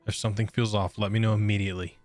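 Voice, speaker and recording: A man's voice: on the low side, flat delivery, very fast, faint music behind. A man doing casual game reaction commentary as a streamer, with slangy, brief interjections. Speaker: gaming streamer.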